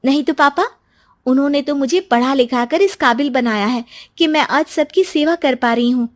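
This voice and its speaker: A woman voicing a kind, forgiving grown daughter in a cartoon moral story, gentle and earnest. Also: high-pitched, moderate pace, voice pushed up, lightly acted. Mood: reassuring.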